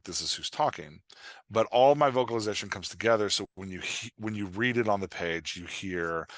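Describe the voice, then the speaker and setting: A man's voice: deep voice, fairly bright tone, no echo. A man chatting casually as a podcast guest, coaching on a craft, explaining with improvised examples and frequent "like" and "right?" check-ins.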